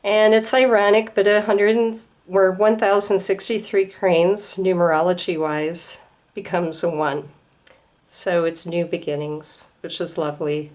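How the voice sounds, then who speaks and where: A woman at home gently explaining a handmade display to a camera, her voice slightly hoarse from a starting cold. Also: on the low side, fairly even intonation. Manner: gentle, unhurried, pausing to explain details. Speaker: student presenting a craft project. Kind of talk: slow show-and-tell explanation. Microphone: camera filming a display.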